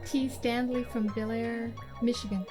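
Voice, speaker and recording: Higher-voiced woman reading listener names. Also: fairly even intonation, over music. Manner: brisk, reads names and places in turn. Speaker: podcast co-host.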